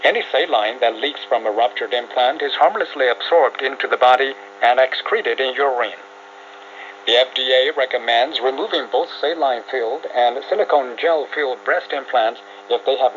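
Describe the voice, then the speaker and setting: Bright-voiced male reporter over muffled shortwave. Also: medium pitch. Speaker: radio reporter. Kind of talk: scripted news narration. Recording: muffled shortwave radio, steady hiss. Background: radio static and hum.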